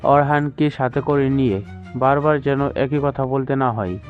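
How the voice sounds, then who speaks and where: A thin, phone-like recording with music behind carries a man acting a serious company boss and stern father from an audio drama. He speaks at a middling pitch, measured, firm and used to giving orders. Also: steady tone, lightly acted. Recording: tinny phone-like sound.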